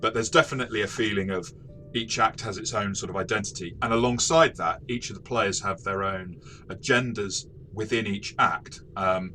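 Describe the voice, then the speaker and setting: A man's voice: fairly low pitch, normal speed, bright, forceful tone, faint music behind. A man talking through a tabletop game review as a game master, in a casual, reflective, explanatory way. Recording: faint background noise.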